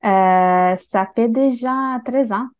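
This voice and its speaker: A woman who grew up in Italy, a native Bengali speaker, speaking as a guest in a casual interview and pausing often to search for words. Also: fairly high pitch, darkish tone. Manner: hesitant, many fillers and restarts.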